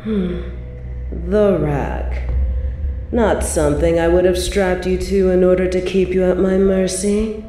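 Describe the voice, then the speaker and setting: A woman's voice: deep voice, warm tone. A woman playing a cruel, possessive medieval evil queen in an audio drama, speaking in regal, mocking, theatrical and formal phrases. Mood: sadistic delight. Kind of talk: scripted roleplay audio drama. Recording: roomy reverb, music underneath.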